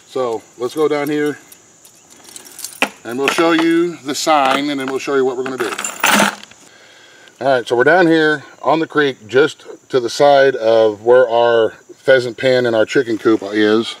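A man's voice: medium pitch, steady tone, measured pace, noisy background. A middle-aged man, explaining step by step in a plain, folksy way how to set a trap, recorded outdoors on a camera mic. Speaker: homesteader showing how to set traps. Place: outdoors by a pen and a wooded creek. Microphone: camera mic, handheld camera close by. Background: faint outdoor insects and crickets.

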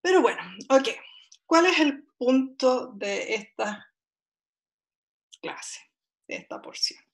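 A woman's voice: high-pitched, expressive, takes it slowly. A young woman with a Chilean accent giving an online physics lecture over a video call, chatty and self-deprecating, with many fillers and restarts. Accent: Chilean Spanish. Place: home room, indoors. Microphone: laptop webcam video call.

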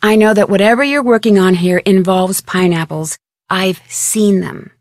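A woman playing an inquisitive, polite and persistent young American amateur detective, speaking scripted adventure game dialogue in an earnest, expressive way. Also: medium pitch, normal speed, dry recording, clean studio sound, close to an everyday voice. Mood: polite, curious. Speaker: video game voice actress.

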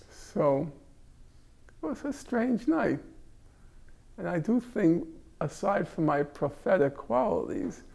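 An older man leads a class in a library room, his voice gravelly and a little husky. He jokes wryly and pokes fun at himself. He is close on a lapel mic. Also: on the high side, warm tone. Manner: wry, joking, self-mocking. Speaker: class instructor. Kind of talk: casual classroom talk.

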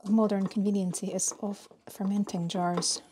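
A woman in her thirties from Scotland, casually explaining a recipe in her home kitchen with a chatty, relaxed manner and some fillers. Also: mid-range voice, unhurried, slight background noise. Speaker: vlogger. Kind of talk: casual narration while cooking.

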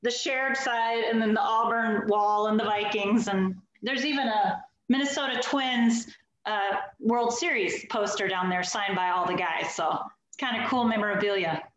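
A woman's voice: mid-range voice, normal speed, fairly bright tone, very echoey, a little hiss or hum, poor audio. A woman in her forties, originally from Alabama, chatting upbeat as a guest over a video call from her home loft. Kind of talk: casual video call interview.

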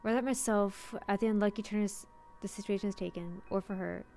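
A woman with a mid-pitched voice reads visual-novel narration aloud as a streamer. She drops into casual asides, and music plays softly behind her. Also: fairly even intonation, a little fast, vocal fry. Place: indoor streaming room.

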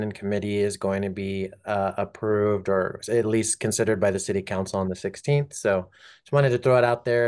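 A man's voice: on the low side, darkish tone, smooth. A man, a city staff member giving casual, helpful updates at a meeting. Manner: casual, helpful, loose phrasing with fillers. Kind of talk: meeting remarks.

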